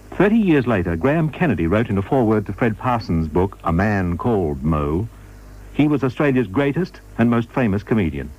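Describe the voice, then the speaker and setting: A man reading scripted radio documentary narration in a measured, formal manner. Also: on the low side, a little mellow, dull audio.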